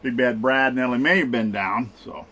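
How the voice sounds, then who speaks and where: A man's voice: medium pitch, sharp tone. A man in his sixties talking casually in his camping vlog, folksy and chatty, chuckling as he goes. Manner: chatty, folksy, chuckles often, slow drawn-out phrasing. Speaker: outdoor cooking vlogger. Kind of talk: casual camp vlog narration. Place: inside a camper. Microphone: camera held close to the face.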